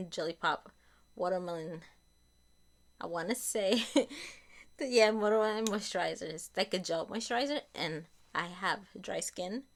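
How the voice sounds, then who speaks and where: Slightly raspy, lower-voiced chatty woman. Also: a bit bright. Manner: chatty, repeats and corrects herself. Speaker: beauty vlogger. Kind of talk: casual product review.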